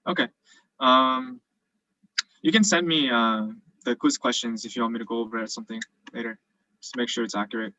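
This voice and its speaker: Bright-toned man explaining casually on a call. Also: mid-range voice, steady tone, brisk, no echo, low-quality recording. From South Korea. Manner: casual, explanatory, frequent fillers. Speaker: dental student presenter. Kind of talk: virtual presentation and Q&A. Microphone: video call.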